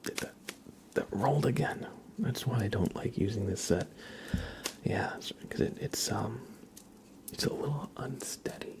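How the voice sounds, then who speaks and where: A man hosting an ASMR livestream, giving card readings in a gentle, halting way as he thinks aloud. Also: medium pitch. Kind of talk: whispered ASMR, casual tarot card reading.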